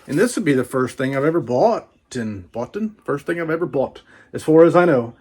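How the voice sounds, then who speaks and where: A man's voice: fairly high pitch, expressive, a little fast, a little mellow, breathy edge. A man doing a casual, folksy book unboxing vlog, rambling playfully with repeats and little asides.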